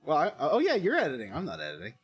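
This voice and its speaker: A man's voice: fairly high pitch, wildly swooping pitch, racing, breathy edge, soft background music. A man co-hosting a podcast, joking playfully in casual chat. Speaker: podcast co-host.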